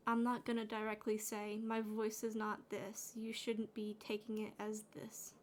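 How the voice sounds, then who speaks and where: A woman gaming streamer with a naturally quiet, soothing voice, chatting in a loose, rambling way while she plays. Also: medium pitch, monotone, a little slow, slight background noise. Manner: rambling, with fillers and restarts. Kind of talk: soft-spoken gaming chat.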